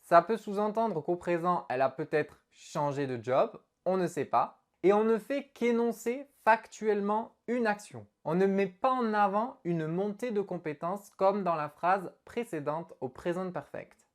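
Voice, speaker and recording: A woman teaching an online English lesson in an animated, expressive way as she explains to viewers. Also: medium pitch, measured pace, hardly any pauses.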